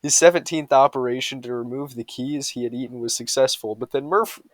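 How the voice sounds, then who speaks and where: A man's voice: medium pitch, brisk, no echo. A man reading humorous bedtime stories aloud with a mock-serious storytelling delivery.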